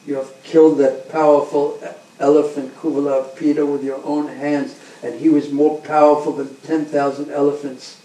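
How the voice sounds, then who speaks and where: A man voicing a boastful male wrestler who talks loudly and insistently. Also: mid-range voice, little pitch movement, measured pace, natural voice. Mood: challenging. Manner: loud and insistent. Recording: roomy, distant, faint background hum.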